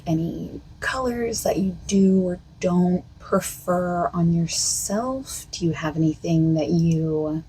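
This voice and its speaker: A woman speaking softly and partly in whispers as a costume consultant in an ASMR roleplay, with a hesitant, filler-heavy way of talking. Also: slightly rough, rough recording. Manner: soft-spoken, drifting between whisper and low voice. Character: female costume consultant asking the listener questions.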